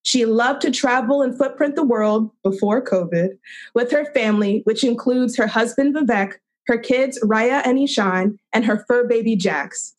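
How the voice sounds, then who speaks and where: A woman's voice: a little high, moderate pace. A woman hosting a storytelling event, formally reading out a speaker's introduction and list of credentials. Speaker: event host.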